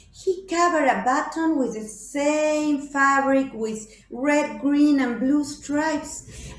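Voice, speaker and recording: A woman's voice: fairly high pitch, roomy sound, slight background noise, rough recording. A woman telling a folk tale in a playful, sing-song way with mouth sound effects. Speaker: storyteller.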